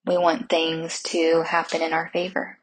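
Very breathy, slow woman guiding meditation. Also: low-pitched, completely flat delivery, low-quality recording. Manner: soothing, unhurried, gentle instruction with long pauses. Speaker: guided meditation host.

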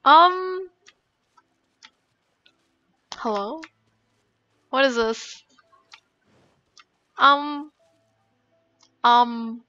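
A woman's voice: high voice, lively intonation, extremely slow, long pauses, pushed and bright, dull audio. A woman game streamer reacting casually in scattered short exclamations. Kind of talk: casual live gameplay reactions.

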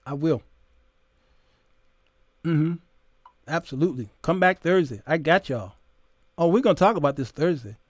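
A high-voiced American man in his fifties talks straight to his audience as a show host. His delivery is informal, opinionated and preacherly, leaning on emphasis and rhetorical questions. The sound is dry, spoken close into the microphone. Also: a bit bright, dry recording. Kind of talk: informal opinionated monologue to camera. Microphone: close condenser microphone on boom arm.

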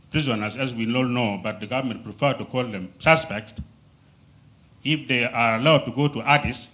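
A man's voice: medium pitch, pushed and bright. A man from South Sudan asking a formal question from the audience at a policy forum. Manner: formal, deliberate.

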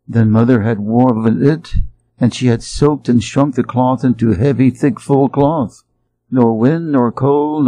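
A man from Wisconsin with an American Midwest accent, reading a children's story aloud slowly and clearly for English learners. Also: mid-range voice, mellow, poor audio. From Wisconsin, USA. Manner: careful, evenly paced read-aloud. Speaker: audiobook reader for English learners.

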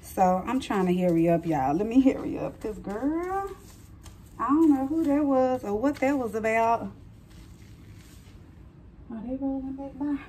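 A woman, chatting casually to the camera from a salon and then from a parked car at night, animated and talkative. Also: sits high, measured pace, long pauses, a little hiss or hum. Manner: chatty, animated storytelling, addresses viewers as girl. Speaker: hairstylist vlogger. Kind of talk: casual vlog chat to camera. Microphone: phone camera held close.

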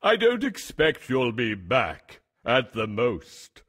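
A man voicing a proud, mighty, boastful lion king in a children's fable, with a theatrical, drawn-out delivery. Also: medium pitch, wildly swooping pitch, a bit bright, husky, heavily acted. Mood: amused, scornful.

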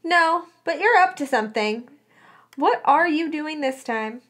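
A woman's voice: high-pitched, lively intonation, measured pace, fairly bright tone, pitched above the performer's own voice. A woman voicing a bossy, nosy teenage schoolgirl in a story, insistent and exasperated with her best friend. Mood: suspicious, insistent.

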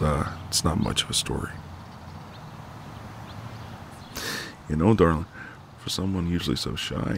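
A man playing a flirty, cocky but gentle ex-marine neighbour in an intimate ASMR roleplay, teasing in a folksy way. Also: a bass voice, gravelly, noisy background.

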